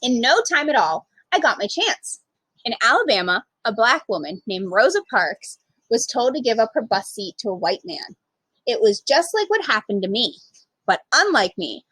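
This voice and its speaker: A woman's voice: a little high, moderate pace, breathy edge, no room sound, low-quality recording. A woman reading a children's picture book aloud as a storyteller, expressively acting out the dialogue. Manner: animated, acts out dialogue.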